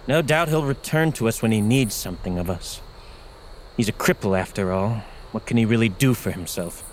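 A man plays a scornful prince with a fairly low voice. He is cold, haughty and dismissive, in a fantasy audio drama with a faint outdoor ambience behind him. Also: moderate pace, slight background noise, natural voice. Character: cold, arrogant, scornful grown prince, contemptuous of weakness.

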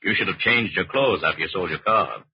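A man's voice: low voice, expressive, a little fast, breathy edge, heavily acted. A man playing an unflappable, dryly taunting American FBI agent in a 1940s radio crime drama, methodical and matter-of-fact. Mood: coolly explaining. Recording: muffled, dull vintage 1940s radio recording.

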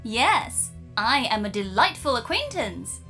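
A woman's voice: a little high, big pitch swings, unhurried, bright, forceful tone, faint music behind, heavily acted. A woman playing a self-satisfied, teasing non-human companion in a sci-fi audio drama. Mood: breezy. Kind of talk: science fiction audio drama acting.

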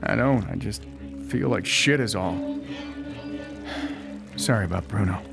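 An actor playing a nervy, determined conspiracy investigator on the run in an audio drama, speaking in a halting, earnest way. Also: male voice, fairly low pitch, gravelly, over music. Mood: weary.

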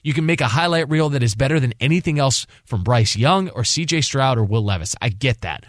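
A man's voice: mid-range voice, lively intonation, fairly quick, dry recording, clean studio sound. A man co-hosting a sports radio show, reasoning through his analysis off the cuff and repeating phrases for emphasis. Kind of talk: unscripted sports talk analysis. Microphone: close studio broadcast microphone.

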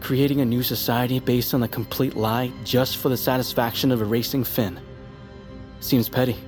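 A man's voice: mid-range voice, steady tone, normal speed, a little mellow, faint music behind, natural voice. An actor playing a wary, exasperated man guarding his patient in an audio drama, anxious and questioning. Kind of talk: scripted audio drama acting.

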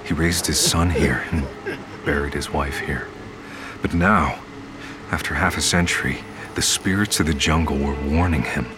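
Extremely deep-voiced ominous storyteller. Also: male voice, noisy background. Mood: grave, foreboding. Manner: portentous, measured. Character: grave, ominous storyteller narrating a dark omen. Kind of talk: scripted audio drama narration. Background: music.

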